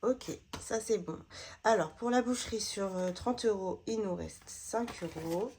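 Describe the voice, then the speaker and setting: A woman's voice: mid-range voice, a little slow, a little mellow, a little hiss or hum. A woman, slightly stuffy from a cold, chatting casually while sorting her budget envelopes, with lots of hesitant fillers. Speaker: budgeting vlogger.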